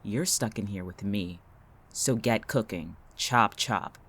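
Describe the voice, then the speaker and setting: A woman's voice: very deep voice, slow, fairly bright tone, a little hiss or hum, exaggerated voice. A woman in an audio drama playing a weary, bitter, defiant young man, curt and sardonic.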